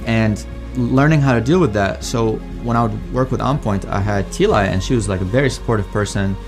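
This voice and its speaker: A man's voice: fairly low pitch, brisk. A young man from Iraq, a PhD student, telling his story in a thoughtful, casual way with frequent "like.". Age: young adult. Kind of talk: personal testimonial. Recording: music bed under the voice.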